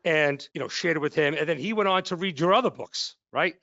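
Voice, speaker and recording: A man with a fairly high-pitched voice hosts a casual podcast chat. He tells personal stories in an animated way and turns them into questions. The recording is very dry and a bit dull. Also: brisk, no room sound. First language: English and Polish speaker. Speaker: podcast host, company CEO. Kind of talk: casual chat, anecdotal interviewing.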